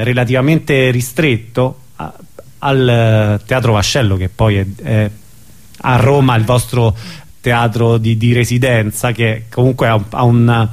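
Male radio interviewer, halting and searching. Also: mid-range voice. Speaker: radio host interviewing theatre performers. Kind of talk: conversational interview.

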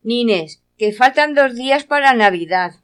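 Woman playing a chatty, higher-voiced neighbour. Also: animated, measured pace, fairly bright tone, smooth. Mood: friendly, coaxing. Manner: chatty, coaxing. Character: friendly, persistent woman neighbour offering company. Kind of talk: amateur radio play acting.

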